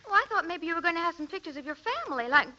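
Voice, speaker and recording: A woman's voice: very high-pitched, very animated, racing, sharp tone, full character voice. A woman playing a girlish, coaxing young niece in a 1940s radio comedy. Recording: dull old-time radio recording.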